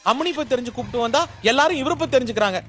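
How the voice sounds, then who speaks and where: A man playing a cheeky, nervous servant in a film comedy, wisecracking deferentially, with the sound pitched up. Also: rapid-fire. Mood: mock amazed. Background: background music.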